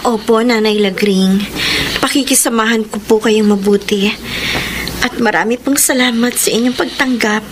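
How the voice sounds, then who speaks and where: A woman's voice: on the high side, moderate pace, natural voice. A woman acting a meek, polite, dutiful young woman with a Filipino accent in a radio drama. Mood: humble grateful. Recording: noisy background ambience.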